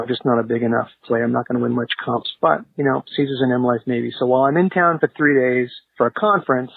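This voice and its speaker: A man's voice: medium pitch, breathy edge, dry recording. A man leaving an informal voicemail by phone, explaining things to show hosts. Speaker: voicemail caller. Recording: muffled narrowband phone voicemail.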